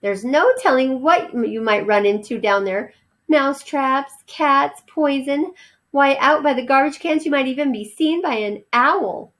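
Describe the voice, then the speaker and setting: A woman playing a storybook mother mouse, pitched high and anxious. She frets over her young, pleading and exclaiming in a protective, motherly way. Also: expressive, unhurried, fairly bright tone. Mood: worried, pleading.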